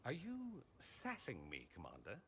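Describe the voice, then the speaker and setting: Highly animated male voice, smug game schemer, playful, arrogant drawl, faint noise. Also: a little slow. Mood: smug, teasing. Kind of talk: video game voice acting.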